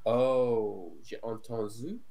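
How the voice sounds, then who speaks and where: A man who is a beginner student in an online lesson, speaking haltingly and repeating words while he searches for them. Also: mid-range voice, dark tone, faint music behind. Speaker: beginner French learner. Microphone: video call.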